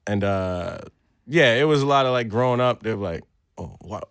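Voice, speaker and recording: A relaxed man chatting as a podcast guest, laughing often and tossing in "man" here and there. His voice sits at an ordinary middle pitch. The recording is dry and close, with no room sound. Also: moderate pace, clean tone, no echo. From United States, first-generation of Jamaican parents. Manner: laid-back, laughs often, says "man" a lot. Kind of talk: casual chat. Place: podcast studio.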